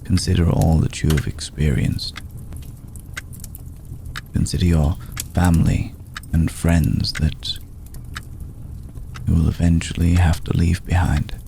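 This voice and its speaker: A very deep-voiced, ancient vampire lord played by a man speaks tenderly in an intimate ASMR roleplay. His delivery is very slow and solemn, with a heavy gravelly creak and long, deliberate pauses. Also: dark tone, a little hiss or hum, heavily acted. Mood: solemn, inviting. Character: courteous, brooding centuries-old male vampire lord, tender toward a mortal.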